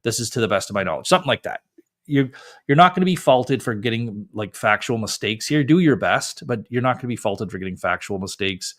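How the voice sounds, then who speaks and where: A man's voice: medium pitch, animated, fairly quick. Male lawyer from Canada, mid-range voice, informal screen-share explainer, plenty of ums and restarts. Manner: casual, conversational, with frequent fillers and self-corrections.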